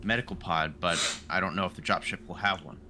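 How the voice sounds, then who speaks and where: A man's voice: low-pitched, fairly even intonation, a little fast, pushed and bright, slight background noise. A man playing a tabletop roleplaying game, speaking casually in character with his fellow players. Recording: music playing behind.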